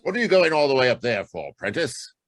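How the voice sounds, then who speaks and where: A man's voice: medium pitch, very animated, fairly quick, dry recording, lightly acted. A man acts a disbelieving colleague in a radio play. He argues emphatically in a mid-range voice with big swings of intonation, in a dry recording. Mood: incredulous, urging. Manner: emphatic, questioning, persuasive. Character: incredulous, reasoning male colleague of a scientist. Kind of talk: old-time radio drama acting.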